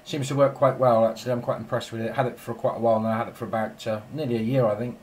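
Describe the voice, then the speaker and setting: A man's voice: mid-range voice, little pitch movement, very fast, a little mellow, slight background noise. A man chatting casually and in a rambling way as a radio operator filming in his home shack. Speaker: amateur radio operator and video maker.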